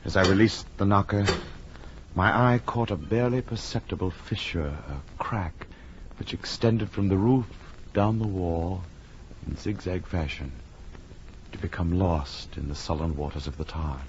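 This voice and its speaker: An actor playing an uneasy, well-bred gentleman in a gothic horror radio drama of the 1940s, formal and dramatic. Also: low voice, a little slow. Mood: dread, brooding. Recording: muffled, faintly noisy old radio recording.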